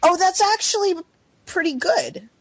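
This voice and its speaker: A woman's voice: very high-pitched, measured pace, creaky, no echo. Girl rambling on a podcast, voice swooping around, dry muffled mic. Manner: excitable, loose, rambling. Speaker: podcast guest joining the chat. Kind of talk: casual chat.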